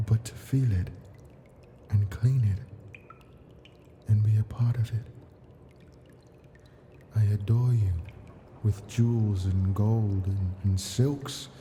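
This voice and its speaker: An ancient male dragon, played by a man, speaks in a low, very soft-edged dark voice at a slow pace. He is possessive and coaxing, with an imperious menace beneath the doting. It is an intimate ASMR fantasy roleplay. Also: fairly even intonation, a little hiss or hum. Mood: wounded, coaxing. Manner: slow, coaxing, imperious, formal storybook diction.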